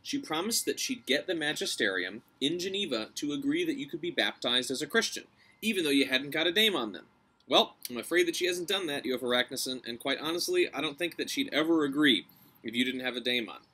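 A man voicing a brave, cunning young girl, coaxing and deferential as she flatters. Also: a little high, breathy edge, exaggerated voice, poor audio. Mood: earnest persuasion. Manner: coaxing, deferential, quick-thinking.

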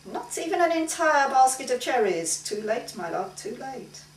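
A woman voicing an impatient, teasing male time-travelling painter in a story reading, animated and argumentative. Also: sits high, normal speed, pitched above the performer's own voice. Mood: teasing, stubborn. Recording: roomy, distant mic, faint hiss.

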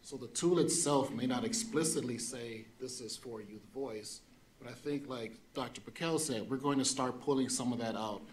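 A man's voice: mid-range voice, moderate pace, rough recording. A man in his forties, a presenter answering board questions in a plain, explanatory way on a desk mic. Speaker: district program presenter. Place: meeting room. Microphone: desk gooseneck mic.

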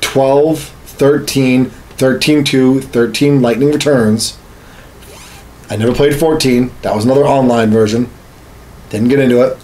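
A man's voice: mid-range voice, fairly even intonation, measured pace, a little mellow, poor audio. A man in his thirties talking casually and emphatically about games, swearing and repeating himself as he goes. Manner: profane, emphatic, repetitive. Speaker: podcast host. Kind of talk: casual gaming chat. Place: small home studio room, indoors.

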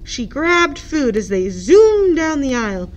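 A woman's voice: high-pitched, big pitch swings, takes it slowly, background noise. A woman narrating a children's picture book expressively, as a librarian at story time.

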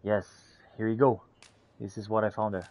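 A man streaming a game with casual in-character roleplay commentary, chatty and theatrical with a halting delivery. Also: on the low side, little pitch movement, a little mellow, a little hiss or hum.

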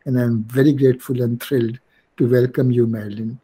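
An older man hosting an online poetry event, introducing a guest in a halting way with many pauses and restarts, over a video call. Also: mid-range voice, fairly even intonation, warm tone, husky. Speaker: host introducing a guest poet. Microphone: video call, laptop camera.

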